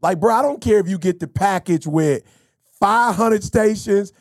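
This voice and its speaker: A man, hosting a podcast in casual, animated talk full of slang and stories. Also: sits high, normal speed, no echo, clean studio sound. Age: 50s. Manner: animated, storytelling, slangy with bro and you feel me. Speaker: podcast host. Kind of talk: casual motivational chat.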